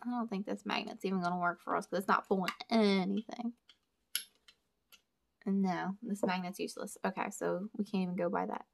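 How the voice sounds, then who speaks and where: Young woman vlogger, gravelly fry, chatty, thinking aloud to viewers, mid pitch, indoor tabletop camera. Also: steady tone, fairly quick, slight background noise. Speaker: storage unit reseller and vlogger. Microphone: camera over a table, hands only in frame.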